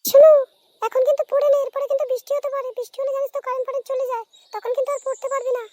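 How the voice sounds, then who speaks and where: A woman voicing a diligent, caring schoolgirl big sister in an amateur cartoon, pitched up and earnestly insistent. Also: fairly even intonation, very fast, warm tone, dry recording.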